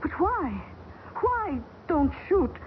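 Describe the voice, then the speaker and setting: An actress playing a stranded young woman in an old radio drama, quiet and earnest in slightly formal English. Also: extremely high pitch, big pitch swings, takes it slowly, full character voice. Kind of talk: 1970s radio drama acting. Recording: tinny, hissy old radio broadcast.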